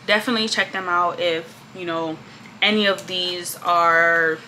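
A young woman vlogging casually at her desk in a small room, laid-back and chatty, her voice a little congested and often trailing off softly at the ends of lines. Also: fairly low pitch, steady tone, a little slow, a little hiss or hum, rough recording. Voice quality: slightly congested. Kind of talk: casual chat.

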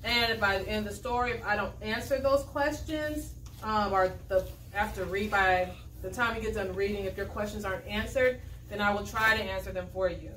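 A young woman leads a read-aloud in a classroom, giving directions and posing questions in a dry teacherly way. Her voice sits at a middle pitch. The recording is echoey and distant, with faint noise behind her. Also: steady tone, normal speed, a bit bright. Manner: dry, instructive, checks in with "okay?". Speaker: primary school teacher. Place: school classroom, indoors. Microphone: camera a few metres away.

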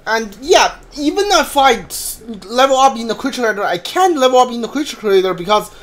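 A man with a high voice streams a video game and talks to his chat. His commentary is casual and rambling, and he often circles back over the same point with hesitant phrasing. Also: lively intonation, brisk, a bit bright. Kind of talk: casual live gameplay commentary.

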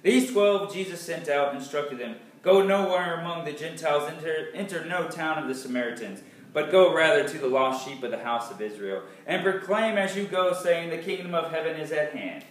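A man in his thirties reading scripture aloud in a dramatic, emphatic way, pausing deliberately between phrases. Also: on the high side. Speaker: Bible reader. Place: small, sparsely furnished living room indoors. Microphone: distant camera microphone. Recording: echoey room, far from the camera mic.

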